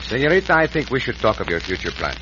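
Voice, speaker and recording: Male lead played by an actor: gallant Mexican outlaw, courtly, lively swooping delivery, noisy vintage western radio recording. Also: mid-range voice, fast, highs cut off, natural voice. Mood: tender, gallant. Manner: courtly, formal phrasing with Spanish words. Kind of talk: 1940s-50s western radio acting.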